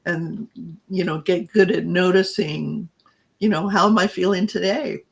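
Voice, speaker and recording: A woman co-hosting a podcast, her voice scratchy and gravelly with a husky, breathy edge. She talks in a halting, reflective way, trailing off and restarting as she tells her own story. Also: on the low side, fairly even intonation. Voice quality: scratchy, raspy voice. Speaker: podcast co-host and coach. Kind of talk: personal storytelling in casual chat.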